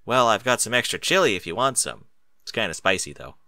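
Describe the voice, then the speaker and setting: Young boy dragon, storybook, casual, mildly grumbly, bright tone male reader. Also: lowish voice, big pitch swings, fairly quick, no echo, clean studio sound, full character voice. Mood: casual, unbothered. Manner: casual, shrugging.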